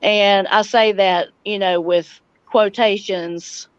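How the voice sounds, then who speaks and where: A woman's voice: mid-range voice, fairly even intonation, takes it slowly, clean tone, dry recording, highs cut off. A middle-aged woman hosting a casual livestream, chatting and answering viewer comments. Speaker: livestream host and homesteading vlogger.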